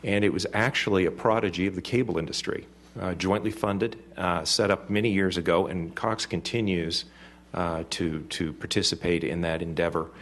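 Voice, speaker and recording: A man representing a cable company, giving formal remarks to a city council in a halting way with many fillers. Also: low voice, fairly even intonation, fairly bright tone. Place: council chamber.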